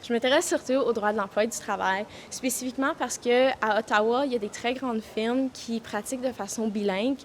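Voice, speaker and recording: A woman's voice: fairly high pitch, a little fast, hardly any pauses, a little hiss or hum. A young woman law student, giving an earnest vox pop answer full of fillers.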